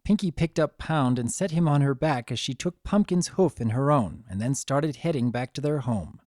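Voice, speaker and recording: A man reading a scripted story as narrator, with a gentle, expressive dramatic delivery. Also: medium pitch, moderate pace, no room sound, studio quality.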